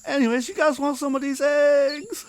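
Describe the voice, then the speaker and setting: A man's voice: very high-pitched, steady tone, moderate pace, darkish tone, dry recording, pitched above the performer's own voice. A man voicing a shady egg vendor who puts on a thick, fake Eastern European accent and is overly reassuring. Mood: sly. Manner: sly, overly reassuring salesman.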